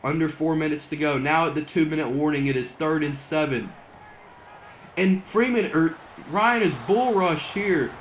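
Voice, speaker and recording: A young man calls a football video game like a broadcast announcer, his voice sitting a bit high and starting to wear thin from all the calling. He builds to near-shouts on the big plays, and a faint hiss of noise runs under the recording. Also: moderate pace. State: losing his voice. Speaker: gaming channel host doing play-by-play. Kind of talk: mock broadcast sports commentary over gameplay.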